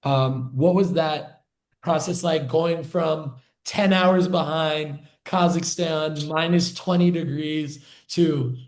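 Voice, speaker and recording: Slightly high-voiced male podcast host. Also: fairly even intonation, slow. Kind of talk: casual interview questioning.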